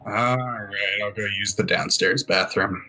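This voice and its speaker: Man playing a slow, sarcastic slob of a guy. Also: fairly low pitch, steady tone, bright, forceful tone, soft background music, heavily acted. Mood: grudging. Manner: dry, sarcastic. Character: sarcastic slobbish guy needling his housemate.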